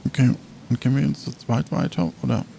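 A man's voice: mid-range voice, fairly even intonation, a little fast, vocal fry, dark tone, slight background noise. A man acting as game master, improvising the narration of an audio adventure game and answering a player.

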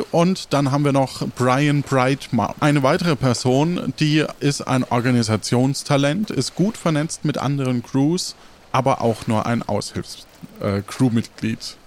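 Guy running an improvised adventure game, laid-back conversational narrating, average pitched voice. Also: measured pace. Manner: casual, conversational. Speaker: game master.

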